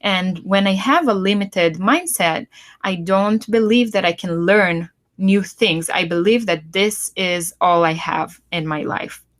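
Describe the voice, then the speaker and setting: A woman's voice: medium pitch, a little slow. A woman with a Hebrew accent, co-hosting a talk show and explaining her ideas in a thoughtful way, with many fillers and restarts. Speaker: podcast co-host. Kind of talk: casual chat.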